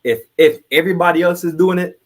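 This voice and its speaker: A man's voice: high voice, quick pace. A man from Trinidad, telling personal stories candidly and informally as a podcast guest. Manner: candid, informal, swears casually. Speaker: podcast guest, military veteran. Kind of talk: casual conversational storytelling.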